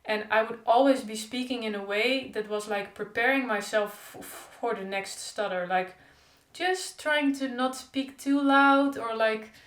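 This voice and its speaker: A woman's voice: a little high, unhurried, few pauses. A young woman who stutters openly with repeated sounds, talking in a casual diary-style vlog. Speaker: stuttering vlogger. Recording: echoey room.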